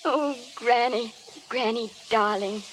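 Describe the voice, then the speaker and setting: A woman's voice: fairly high pitch, slow, fairly bright tone. An actress playing a hopeful, sincere small-town young woman, shy but stubborn, in a 1930s radio drama. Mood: tender, moved. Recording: faintly noisy vintage radio broadcast.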